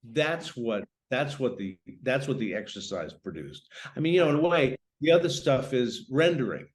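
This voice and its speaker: A man speaking as a writer in a casual literary conversation, halting and reflective, repeating phrases as he thinks. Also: medium pitch, sing-song. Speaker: writer, guest.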